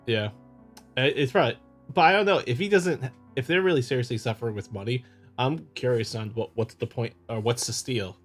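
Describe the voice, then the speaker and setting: Casting: male game host, average pitch, reads narration aloud with stumbles and offhand comments, music underneath. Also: moderate pace. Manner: stumbles over the written text. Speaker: playthrough host. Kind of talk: reading visual novel narration with casual commentary.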